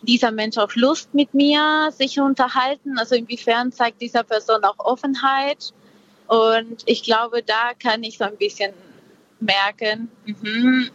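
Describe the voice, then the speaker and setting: Young woman, interviewee on a video call, hesitant and thoughtful, somewhat high voice. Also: steady tone, fairly bright tone. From Peru. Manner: reflective, slow, hesitant with many fillers. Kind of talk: casual conversation. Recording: dull lo-fi video call audio.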